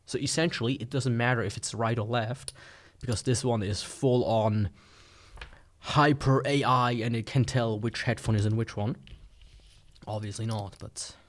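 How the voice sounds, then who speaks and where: A man's voice: medium pitch, steady tone, moderate pace. A man with a mid-range voice talks through a product unboxing in an informal way. His delivery is sarcastic, with playful overstatement as he comments like a gadget reviewer.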